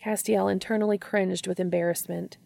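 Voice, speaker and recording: A woman hosting and narrating fan fiction in a scripted, expressive storytelling read. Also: mid-range voice, brisk, creaky, no echo, clean studio sound. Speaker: podcast host and reader.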